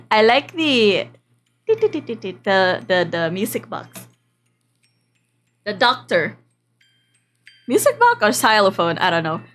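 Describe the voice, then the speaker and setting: A woman's voice: medium pitch, fairly bright tone. A woman streamer reading a visual novel aloud in a playful, dramatic way, breaking off for casual asides. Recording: music behind the voice. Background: game background music.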